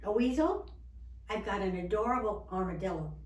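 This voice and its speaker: A woman's voice: medium pitch, expressive, fairly quick, roomy sound, distant mic, close to an everyday voice, low-quality recording. A woman voicing an eager, pushy man who runs an animal shelter, coaxing like a salesman in a storybook reading. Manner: coaxing, exclamatory sales patter.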